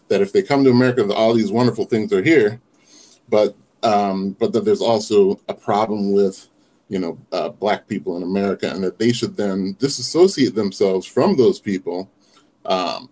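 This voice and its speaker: A middle-aged man calling in to a livestream, giving his opinion in a halting way with pauses mid-thought. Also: lowish voice, darkish tone. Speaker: caller on a livestream. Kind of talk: earnest opinion chat. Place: small wood-panelled room indoors. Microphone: device mic on video call.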